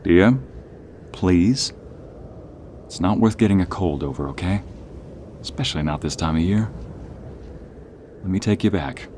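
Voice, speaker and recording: A man playing a protective, caring friend in an ASMR roleplay, speaking softly and haltingly, often dropping to a breathy near-whisper. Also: sits low, expressive, a little hiss or hum. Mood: urgent, concerned. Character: protective, caring male friend, playful turning worried. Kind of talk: ASMR roleplay audio drama.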